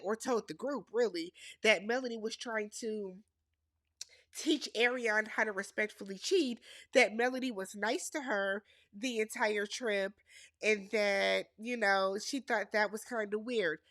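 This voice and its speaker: Stuffy nasal wisconsin woman, bright tense voice, dry close sound, opinionated recap chat. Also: medium pitch, measured pace, dry recording. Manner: opinionated, addresses viewers as y'all. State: congested from a cold. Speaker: reality TV recap host.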